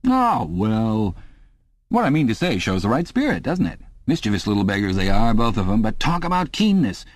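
A man's voice: lowish voice, very animated, moderate pace, no echo, voice pushed up. A hearty, overkeen middle-aged man, as a man puts him on chatters in a chummy, blustering way. The voice sits well above the narrator's own, and the recording is dry and close.

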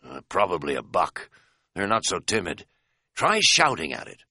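Man voicing a weary, deadpan male hiker. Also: sits low, moderate pace, breathy edge, no echo, full character voice. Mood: dry sarcasm. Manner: dry, dismissive. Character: weary, unflappable, deadpan male hiking companion. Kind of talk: audiobook character dialogue.

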